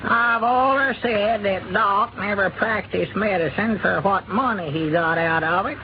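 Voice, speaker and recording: An actor playing a good-hearted, agreeable old farmer who repeats himself in a folksy rural Arkansas accent, in a 1930s radio drama. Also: male voice, high voice, measured pace. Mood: earnest. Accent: rural Southern US, Arkansas. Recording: noisy, dull 1930s radio recording.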